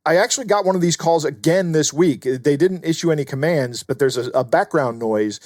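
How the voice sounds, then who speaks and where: A man's voice: on the high side, fairly quick, darkish tone, no room sound, studio quality. An American man co-hosting a podcast in a casual style, chatting and reading aloud. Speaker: podcast co-host. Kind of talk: casual chat and reading out listener mail.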